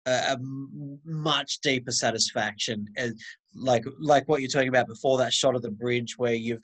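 Thirties host, average pitch, laid-back, agreeable, casual swearing. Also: male voice, fairly even intonation, moderate pace, a bit bright. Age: 30s. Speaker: podcast host. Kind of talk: casual podcast chat.

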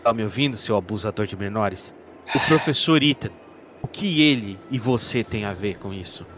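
Man playing a low, menacing, righteous detective. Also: animated, moderate pace, a bit bright, a little hiss or hum. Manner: contemptuous, menacing. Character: stern, righteous male detective scolding a culprit.

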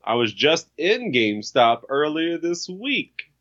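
A man co-hosting a casual gaming podcast, animated and teasing, swearing freely. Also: a little high, takes it slowly, highs cut off. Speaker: podcast co-host. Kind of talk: casual chat.

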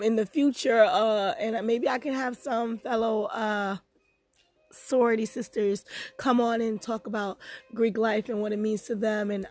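A young woman hosting a personal podcast in casual chat, bubbly and quick to laugh, her voice hoarse from a sore throat. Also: mid-range voice. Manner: bubbly, chatty, laughs easily.

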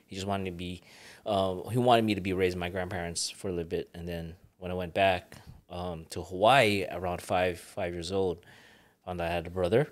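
A man's voice: low-pitched, level tone, brisk. A man in his forties whose first language is Ilocano, telling stories in a laid-back, joking way with lots of fillers. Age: 40s. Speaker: podcast guest, retired boxer. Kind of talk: casual interview chat.

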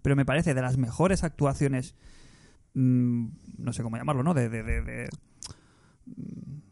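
A man's voice: mid-range voice, fairly even intonation, a little slow, vocal fry, darkish tone, a little hiss or hum. A man, talking in a casual chat, reflective and full of restarts. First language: Spanish. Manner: reflective, restarts often, emotional recalling. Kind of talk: casual chat about video games.

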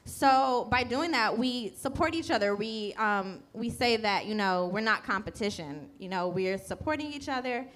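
A woman's voice: on the high side, lively intonation, normal speed, few pauses, a bit bright. Chatty woman from North Carolina. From Charlotte, North Carolina. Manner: casual, chatty, many fillers. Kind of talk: panel discussion.

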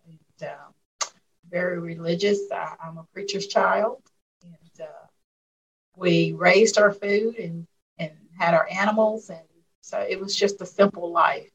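A woman in her fifties from Arkansas tells her story in an unhurried interview, circling back with frequent fillers. Her voice is a little low and breathy. The sound comes through a dull, muffled call line. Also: steady tone. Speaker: school superintendent, guest. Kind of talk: casual interview over a call.

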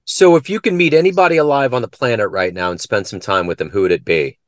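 A man's voice: on the low side, fast talker, no room sound, studio quality. A male host asking questions in a curious, upbeat conversational way. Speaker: interview host. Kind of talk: conversational interview.

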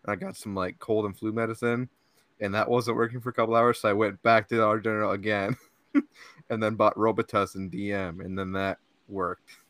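A man's voice: lowish voice, level tone, a little fast, a bit bright, no echo. A young man hosting a podcast, chatting casually and rambling, laughing often and saying "like" and "dude" a lot. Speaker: podcast host. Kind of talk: casual chat. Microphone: podcast mic.

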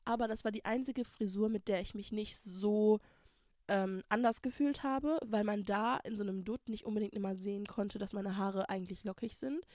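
A woman's voice: mid-range voice, darkish tone, no echo. A young woman from Germany in her twenties talks through a personal topic in a casual, candid monologue. She leans hard on certain words and slips English words into her speech. The recording is dry and close, with no room sound. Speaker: podcast host.

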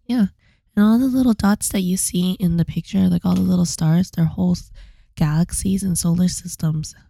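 A woman's voice: fairly low pitch, fairly even intonation, moderate pace, vocal fry, warm tone, no echo. A young woman co-hosting a podcast in laid-back casual chat, her voice worn and losing out. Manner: laid-back, enthusiastic with swearing. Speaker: podcast co-host.

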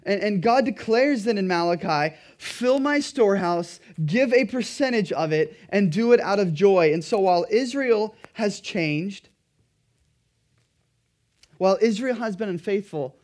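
A pastor preaching a sermon in an earnest, emphatic way, his throat a little dry and raspy. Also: sits high, expressive, unhurried, a little mellow.

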